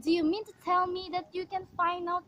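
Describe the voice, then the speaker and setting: Radio play role, female actor: nervous, demanding invalid wife, very high pitch, indignant and melodramatic. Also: little pitch movement, fairly quick, a bit bright. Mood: indignant, insistent. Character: anxious, demanding invalid wife alone at home. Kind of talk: amateur radio play acting.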